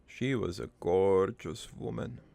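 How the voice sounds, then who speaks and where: A man voicing a middle-aged, foreign-born cartoon father who rambles through his life story in a deadpan, halting, improvised monologue with a gravelly voice. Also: deep voice, full character voice. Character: rambling, crude, wistful foreign-born immigrant father named Joey.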